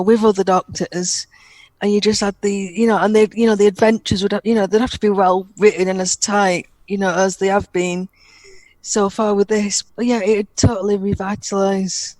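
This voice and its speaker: A woman's voice: mid-range voice, fairly even intonation, normal speed, a little mellow, no room sound, rough recording. Chatty woman, dry close sound. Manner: chatty, peppered with "you know". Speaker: podcast co-host. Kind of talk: casual podcast discussion.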